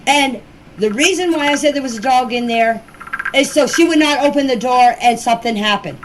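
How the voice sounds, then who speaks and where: High-voiced woman, insistent, noisy recording. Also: brisk. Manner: defensive, insistent, emphatic. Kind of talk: personal account answering questions in a voice message. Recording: noisy amateur voice-clip recording.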